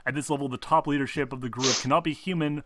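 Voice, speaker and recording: A man acting as game master, casually explaining tabletop game rules in a halting, stumbling, sweary way. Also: mid-range voice, steady tone, pushed and bright.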